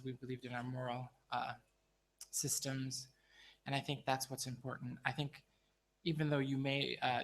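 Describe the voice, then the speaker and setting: A man's voice: medium pitch, a bit bright. A man speaking on a panel, earnest and repetitive, with many fillers and restarts. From United States. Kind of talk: panel discussion remarks.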